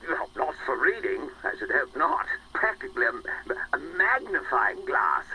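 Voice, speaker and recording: An actor playing a jovial, bumbling upper-class English gentleman, plummy and effusive, in a period audio drama. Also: mid-range voice, big pitch swings, normal speed, husky, exaggerated voice, low-quality recording.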